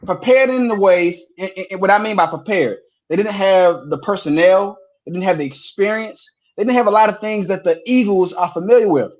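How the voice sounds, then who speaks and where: A man co-hosting a sports podcast, talking casually and telling stories with animated repeats when excited. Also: high voice, fairly quick, hardly any pauses, muffled recording.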